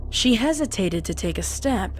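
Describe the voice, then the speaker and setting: A woman's voice: medium pitch, sing-song, normal speed, slight background noise. A woman reading scripted, dramatic story narration as a narrator.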